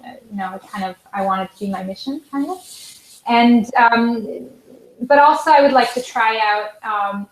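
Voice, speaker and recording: A woman's voice: medium pitch, roomy sound, low-quality recording. A woman from Switzerland with a Swiss German accent, a guest pianist speaking hesitantly with many fillers in a live online interview.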